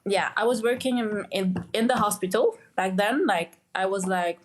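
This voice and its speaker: Brief: young woman from Lebanon, guest on a podcast, mid-pitched, halting and full of fillers. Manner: halting, many restarts and "like" fillers. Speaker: guest, medical doctor. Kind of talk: conversational interview.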